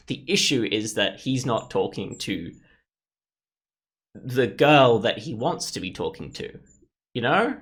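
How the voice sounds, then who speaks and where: A man's voice: mid-range voice, sharp tone. A man playing through a story game, casually commenting and reading its text aloud, often thinking aloud. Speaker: gaming YouTuber.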